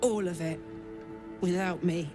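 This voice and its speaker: Woman acting a slow-spoken, anguished warrior woman. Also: fairly low pitch, steady tone, close to an everyday voice. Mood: bitter grief. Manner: blunt, swearing, emotional. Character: anguished battle-scarred female warrior, fierce, foul-mouthed, wounded. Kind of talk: dramatic video game acting. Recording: music behind the voice.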